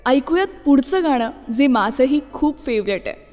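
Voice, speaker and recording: High-voiced woman hosting radio in reverb. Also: normal speed. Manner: expressive, addresses listeners conversationally. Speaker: radio show host. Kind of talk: scripted radio music show presenting. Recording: long reverb, music behind. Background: music.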